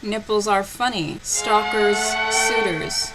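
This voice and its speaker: A woman reads a story aloud in a sarcastic, theatrical way and adds mocking commentary. Her voice sits at a middle pitch, with music playing clearly behind it. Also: takes it slowly, low-quality recording. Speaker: host reading fan fiction aloud. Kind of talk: sarcastic dramatic reading with commentary.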